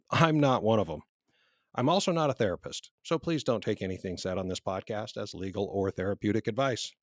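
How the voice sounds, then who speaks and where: A man hosting a podcast, reading a short disclaimer and then interviewing his guest in a friendly, upbeat way. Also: mid-range voice. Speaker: podcast host. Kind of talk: podcast interview with scripted intro.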